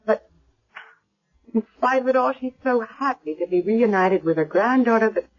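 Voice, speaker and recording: A woman's voice: on the high side, expressive, normal speed. An actress playing an earnest, worried woman doctor in a 1970s radio drama. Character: concerned woman hospital doctor. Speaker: hospital doctor. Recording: dull lo-fi vintage radio recording.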